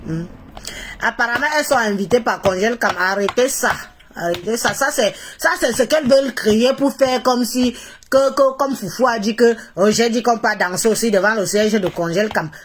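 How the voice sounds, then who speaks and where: A woman's voice: mid-range voice, slight background noise. A woman from Cameroon with a Cameroonian accent and a head cold, talking to followers on a live stream in a mocking, opinionated way, repeating phrases for emphasis. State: congested with a cold. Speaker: social media live streamer.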